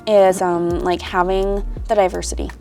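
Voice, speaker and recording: A woman's voice: lowish voice, little pitch movement, moderate pace, dark tone, soft background music. A young woman student chatting casually about her time abroad, with lots of "like" fillers. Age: young adult. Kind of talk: casual chat.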